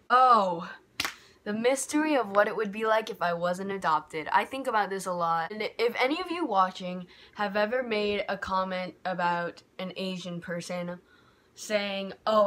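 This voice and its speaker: A young woman from the States talks straight to her camera at home in a candid, rambling way, circling back with "like" and restarting her sentences. Her voice sits at a middle pitch for a woman. A faint hiss of background noise sits under the recording. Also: fairly bright tone. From United States. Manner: candid and rambling, lots of "like" and restarts, heating up when frustrated. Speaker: vlogger. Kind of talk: personal reflective vlog. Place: home bedroom by a window.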